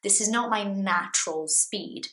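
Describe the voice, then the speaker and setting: Slow-talking Brazilian woman teaching English. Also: mid-range voice, bright, forceful tone, reverberant. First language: Portuguese. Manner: slow, deliberate, clearly explaining. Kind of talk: language lesson talking to viewers.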